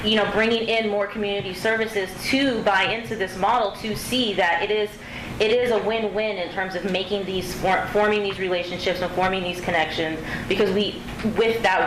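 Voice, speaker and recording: Earnest woman panelist in an echoey room. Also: medium pitch. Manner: earnest, repeats and restarts phrases. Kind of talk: panel discussion. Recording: echoey room with background noise.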